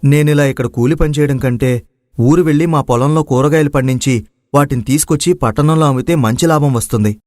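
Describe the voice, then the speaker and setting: A man's voice: fairly high pitch, a little fast, darkish tone, no echo, clean studio sound, lightly acted. A man acting an earnest, aggrieved young village son in a moral story drama. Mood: earnest, hopeful. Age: young adult.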